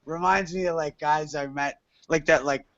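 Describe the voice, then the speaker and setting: A man's voice: fairly high pitch, fairly even intonation, moderate pace, fairly bright tone, dry recording, lo-fi sound. A man chatting casually as a panelist in an online hangout, rambling and profane, with lots of fillers. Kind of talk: casual chat.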